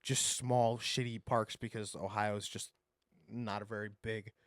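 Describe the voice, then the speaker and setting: A man's voice: on the low side, flat delivery, a little slow, no echo, clean studio sound. A young man from Ohio co-hosting a podcast, rambling loosely in casual chat, swearing freely and hedging when unsure of facts. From Ohio, USA. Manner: loose and rambling, swears often, hedges with "I don't remember". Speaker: podcast co-host.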